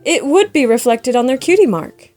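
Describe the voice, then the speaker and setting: A woman's voice: high voice, animated, moderate pace, a little mellow. A woman voice actor recording scripted teacher-like lines for a fan story, slipping into muttered asides in outtakes. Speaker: amateur voice actor. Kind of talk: fan fiction voice-acting outtakes. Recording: faint music behind the voice.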